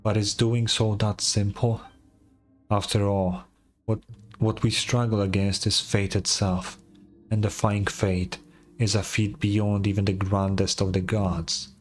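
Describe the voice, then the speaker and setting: A man's voice: on the low side, level tone, measured pace, faint music behind, close to an everyday voice. A man voicing an ancient, defeated stone soldier in a video game, weary and solemn, with formal archaic speech. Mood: solemn, resigned.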